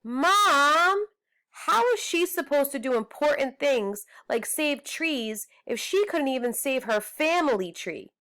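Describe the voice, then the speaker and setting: A woman's voice: high-pitched, lively intonation, takes it slowly, pushed and bright, voice pushed up, heavily acted. A woman reading a storybook as a teasing, contrary young boy with a cheeky, needling manner.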